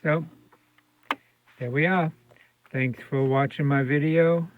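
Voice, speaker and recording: A man giving a casual, unscripted radio repair demonstration in hesitant, halting phrases, recorded indoors. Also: fairly high pitch, steady tone, heavy background noise. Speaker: radio restorer demonstrating a set. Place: indoor room with fluorescent lights. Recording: dull lo-fi home video sound.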